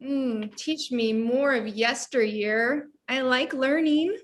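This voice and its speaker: A woman's voice: high voice, slow pace, slight background noise. A woman playing a sarcastic, jaded grown daughter in a comedy table read.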